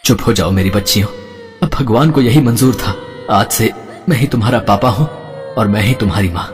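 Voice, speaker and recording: A man's voice: fairly low pitch, a little fast, lightly acted. A man voicing a caring father in a cartoon story who coaxes and reassures his daughters. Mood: gentle. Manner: coaxing, reassuring. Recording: reverberant with soft background music.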